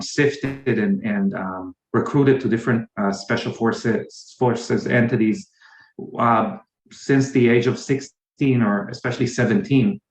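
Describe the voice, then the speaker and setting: A man's voice: mid-range voice, roomy sound, rough recording. A man with an Israeli accent, answering interview questions hesitantly with many fillers and restarts. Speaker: guest, company founder.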